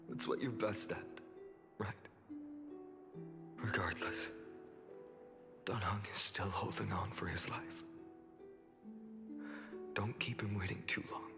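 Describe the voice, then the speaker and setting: Slow, low-voiced solemn young warrior. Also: male voice, in a large hall. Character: calm, solemn young warrior leader, earnest and self-sacrificing. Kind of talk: scripted dramatic video game acting.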